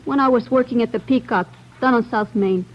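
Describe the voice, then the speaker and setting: A woman's voice: fairly high pitch, a little fast, lightly acted. An actress playing a guarded working-class young woman in a 1940s radio crime drama, with hesitant, searching phrases. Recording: dull vintage 1940s radio recording.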